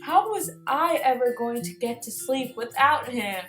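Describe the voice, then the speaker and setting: A woman narrates a children's storybook in an expressive read-aloud style. She takes it very slowly in a fairly high voice. The room is echoey, with faint music underneath. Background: light music.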